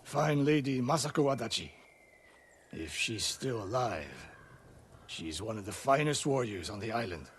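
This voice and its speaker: An actor playing a stern, proud older samurai archery master in a video game, speaking in curt, commanding phrases. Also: male voice, mid-range voice, very animated, measured pace, slight background noise. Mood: grave. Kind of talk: dramatic video game acting.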